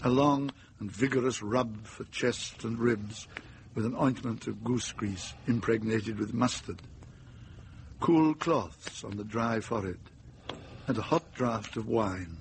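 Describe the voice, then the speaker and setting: A man's voice: fairly low pitch, takes it slowly, creaky. Male storyteller over noticeable background noise. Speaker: narrator. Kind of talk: scripted narration of radio drama.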